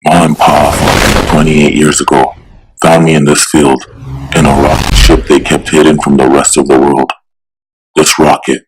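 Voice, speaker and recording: A man's voice: extremely low pitch, level tone, moderate pace, noisy background. An actor playing an earnest, troubled superhero searching for his origins, in a dramatic motion comic style. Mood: determined. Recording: loud music behind the voice. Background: dramatic music.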